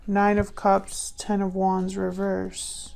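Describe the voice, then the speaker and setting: A woman giving a casual intuitive tarot reading to viewers, musing and pausing as she thinks aloud. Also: medium pitch, monotone, gravelly, darkish tone. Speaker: tarot reader.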